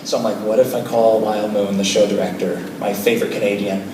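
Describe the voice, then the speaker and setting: A man on a panel telling an animated story about his career, laughing as he goes. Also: lowish voice, fast talker. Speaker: panel guest, performer. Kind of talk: casual anecdote on a live panel. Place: event hall with a live audience. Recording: distant, echoey room recording with noise.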